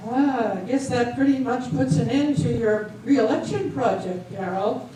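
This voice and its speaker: A woman's voice: a little high, unhurried, warm tone, close to an everyday voice. A woman in an amateur stage reading, playing a nosy local newspaper reporter with a wry, teasing manner. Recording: echoey hall, distant mic, faint noise.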